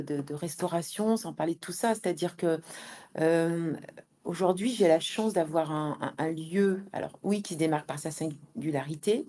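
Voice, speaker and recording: Woman guest chatting in an interview, mellow warm tone, bit low, keeps repeating words as she searches. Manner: hesitant, halting delivery with many repeated words. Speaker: interview guest, founder and manager of an event venue. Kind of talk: conversational live interview.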